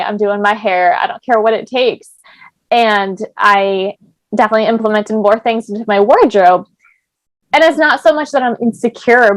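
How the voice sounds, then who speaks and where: A woman's voice: medium pitch, no room sound. A young woman hosting a podcast, chatting casually and filling her talk with "like". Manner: chatty, lots of "like" fillers. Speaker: podcast host. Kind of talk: casual chat.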